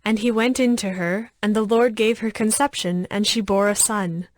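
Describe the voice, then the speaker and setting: A woman's voice: mid-range voice, fairly even intonation, normal speed, no room sound. A woman giving a scripted narration of scripture as the narrator of a dramatized audio production.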